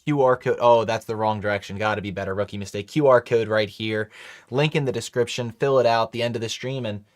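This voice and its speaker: A man's voice: fairly low pitch, fairly even intonation, fast talker, no room sound. A man hosting a casual live stream from a home studio, chatty and upbeat, talking to his chat. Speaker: livestream host.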